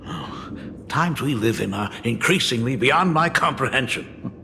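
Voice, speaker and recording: A man's voice: medium pitch, animated, measured pace, creaky, bright, forceful tone, a little hiss or hum, heavily acted. A middle-aged actor playing a polite, hesitant male school dean and father in a video game. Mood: measured. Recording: reverberant game audio with music.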